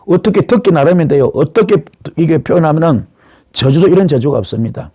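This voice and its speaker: Man, pastor, sermon, slightly high pitch, dry sound, narrative retelling, acted-out dialogue, rhetorical questions. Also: lively intonation, brisk, mellow, husky, no room sound. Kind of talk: sermon retelling Bible stories.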